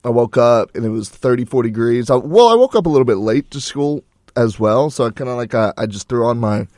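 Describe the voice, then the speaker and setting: A young man co-hosting a college radio show, telling stories in a rambling, relaxed way with plenty of fillers. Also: medium pitch, a little fast, no room sound, clean studio sound. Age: young adult. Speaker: co-host. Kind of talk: casual chat.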